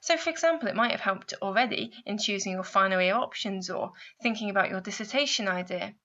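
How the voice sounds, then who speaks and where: A woman delivers scripted instructional narration in a bright, forward voice at a medium pitch. She explains things methodically and addresses the listener directly. The recording sounds somewhat dull and lo-fi. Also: fast. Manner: clear, explanatory, addressing the listener with guiding questions. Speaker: university placement adviser narrating a guidance video.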